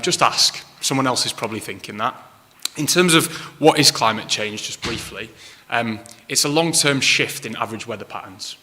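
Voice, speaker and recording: A man's voice: medium pitch, bright, forceful tone. A young man from Manchester with a Mancunian accent, giving an informal talk as a council climate officer, friendly and full of ums. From Manchester, England. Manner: friendly, lots of ums and kinda. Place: large hall at a place of worship. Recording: reverberant hall.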